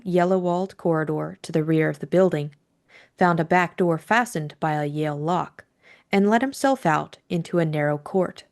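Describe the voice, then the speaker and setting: A woman's voice: fairly low pitch, a little mellow. A woman reading scripted audiobook narration as the storyteller.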